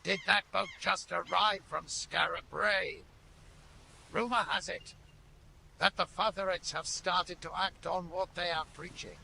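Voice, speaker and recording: A worried elder townsman for a fantasy audio game. The voice is heavily gravelled and high, with a hard, piercing edge. He sounds wary and anxious, over outdoor ambient noise. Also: fairly even intonation, a little slow, pushed and bright, exaggerated voice, poor audio. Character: anxious eldest man among scruffy townsfolk. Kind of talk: audio game character acting.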